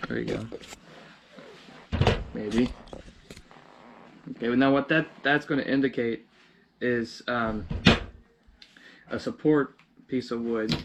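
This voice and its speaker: A man hosting a casual DIY video, narrating informally and thinking aloud as he works with his hands. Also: mid-range voice. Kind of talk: casual how-to narration while working. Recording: clear background noise with handling clicks.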